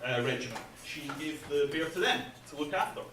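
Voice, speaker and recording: A man giving a chatty conference talk about his game, laughing at his own jokes and filling pauses with ums. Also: fairly high pitch, fairly bright tone. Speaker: game developer presenting at an industry event. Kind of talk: casual conference presentation. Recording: echoey hall, speaker far from mic, faint noise.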